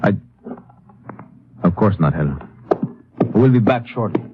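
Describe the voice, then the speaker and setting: An actor playing a rich, jealous South American suitor in an old-time radio drama, formal and stiff. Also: male voice, fairly low pitch, lively intonation, unhurried, noisy background. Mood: stiff, resentful. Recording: tinny, narrow old radio recording.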